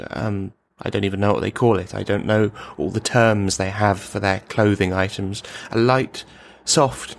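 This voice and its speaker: A man performing a radio play monologue as a bitter, brittle, menacing army veteran, rambling and brooding as he addresses a silent listener. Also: fairly low pitch. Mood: brooding, confessional.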